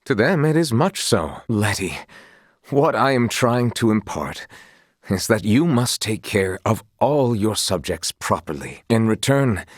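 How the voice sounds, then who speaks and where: A young noble knight for a fantasy video game. He speaks in a lowish male voice with very lively, swooping intonation. His phrasing is formal and old-fashioned, earnest and gently proud, captured dry and close. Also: unhurried, dry recording. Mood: warm, teasing. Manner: formal, archaic, eloquent phrasing. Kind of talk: video game character voice lines.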